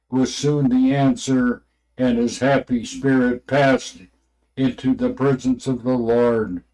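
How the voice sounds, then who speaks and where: A man reading an old religious memoir aloud in a halting way, stumbling over words and names. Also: medium pitch, warm tone, poor audio.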